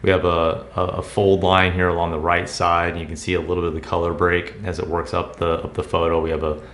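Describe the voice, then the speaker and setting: An American man presenting collectibles in a casual, unscripted video, speaking in a halting but emphatic sales style. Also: sits low, level tone, fairly bright tone. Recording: roomy, echoing indoor sound.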